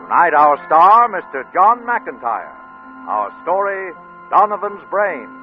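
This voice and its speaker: A man's voice: high voice, very animated, takes it slowly, narrow, tinny audio. An American man announcing formally and dramatically in scripted old-time radio style, with a projected voice. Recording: thin old radio recording with music.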